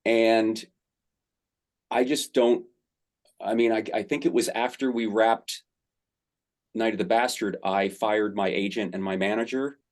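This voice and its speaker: Deep-voiced man, rambling and excited. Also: a little slow, pauses often. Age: middle-aged. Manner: rambling, enthusiastic, many fillers. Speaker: actor. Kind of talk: casual interview answers.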